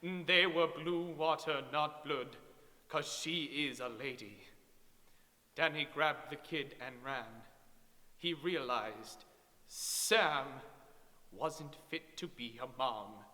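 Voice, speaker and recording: A man giving a mock-serious dramatic reading of a comic story, theatrical, with exaggerated pauses and swells. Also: fairly high pitch, bright, forceful tone. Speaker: story reader. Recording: reverberant hall.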